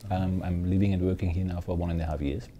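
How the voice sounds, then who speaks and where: A man's voice: deep voice, flat delivery, fast, dark tone, muffled recording, slight background noise. A man from Austria in his fifties, a hotel general manager giving upbeat, fluent interview answers in a hotel lounge.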